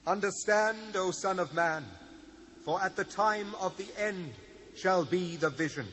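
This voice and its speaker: Casting: commanding angel, British, high male voice, declamatory, long reverb. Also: unhurried, fairly bright tone. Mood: solemn, commanding. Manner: declamatory, solemn. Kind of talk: dramatised scripture reading. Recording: long reverb, faint noise.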